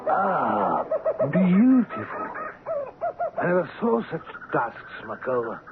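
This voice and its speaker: An actor in a radio drama playing an indignant, excitable colonial company man, with theatrical, formal, exclamatory delivery. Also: a little high, takes it slowly, phone-quality sound, heavily acted. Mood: amazed, pleased. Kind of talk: old-time radio drama acting. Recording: thin, noisy vintage radio broadcast.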